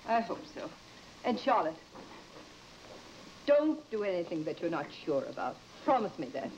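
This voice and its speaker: A woman's voice: fairly low pitch, sing-song, normal speed, darkish tone. An actress playing a concerned, gossipy mother with theatrical emphasis, in an old-time radio horror drama. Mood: worried, tender. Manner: theatrical emphasis, addresses others as dear. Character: concerned, gossipy mother of a married daughter, fussing affectionately. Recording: dull old radio recording with hiss.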